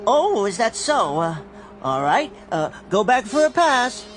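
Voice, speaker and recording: A meek, fussy salesman for a radio drama. His pitch swings around in a lively way as he hesitates through prim, formal, wordy sentences, sounding forever bewildered. Music plays clearly behind him. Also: a little slow, heavily acted. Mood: prim, polite.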